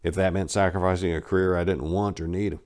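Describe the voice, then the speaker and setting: A low-voiced man reads his own life story aloud in the first person. He is blunt and wry, and now and then he jumps up in pitch and volume to punch a line. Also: steady tone, quick pace, a little mellow. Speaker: narrator reading a memoir aloud. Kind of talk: first-person dramatic reading.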